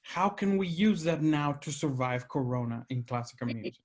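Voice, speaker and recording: A man's voice: on the high side, lively intonation, moderate pace. A man with a Mexican accent, hosting a conversation, speaking earnestly and searching for his words. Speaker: host. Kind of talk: reflective conversation.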